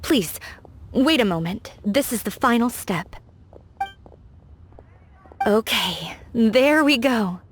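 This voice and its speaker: An actress playing a gentle, polite young woman who makes lanterns, soft-spoken and courteous. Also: fairly high pitch, lively intonation, slow, long pauses, background noise. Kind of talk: game cutscene voice acting.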